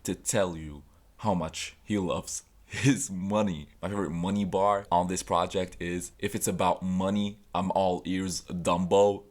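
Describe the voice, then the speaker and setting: A man's voice: low voice, steady tone, measured pace, a little mellow. A young man from Tunisia, a native Arabic speaker, chatting casually about music he recommends, with loose fillers and restarts. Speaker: music vlogger.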